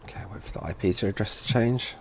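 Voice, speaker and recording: Guy doing a casual how-to on his computer, kinda deep relaxed dark voice, talking himself thru it. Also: fast talker, a little hiss or hum. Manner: informal, thinking aloud while working. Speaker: tutorial presenter for a security products seller. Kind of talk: casual software walkthrough narration.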